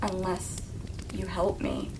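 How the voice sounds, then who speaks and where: A woman playing a manipulative young femme fatale who pleads for help in a breathy, theatrical way, in an amateur audio drama. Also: fairly low pitch, flat delivery, slow pace, darkish tone, a little hiss or hum. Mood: guarded.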